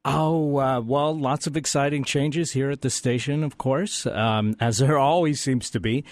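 A man's voice: medium pitch, steady tone, no echo. Radio station guy, hesitant, lots of ums, dry studio sound. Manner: hesitant, many fillers, slow and measured. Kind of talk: casual on-air chat.

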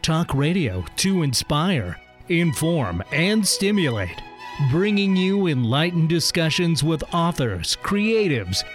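A male announcer opens a talk radio show with a scripted introduction. His delivery is slow and drawn out, with a lilting rise and fall across a fairly high voice. Music plays softly beneath him. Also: very animated.

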